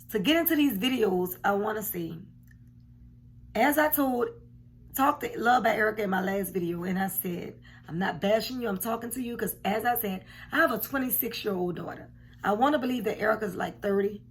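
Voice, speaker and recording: A hoarse woman in her forties talks straight to a camera in a room at home, frank and emphatic, often repeating herself. Her pitch sits mid-range for a woman, and a faint hum runs underneath. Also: a bit bright. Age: 40s. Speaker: commentary vlogger. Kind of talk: casual reaction commentary. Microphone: camera at desk distance.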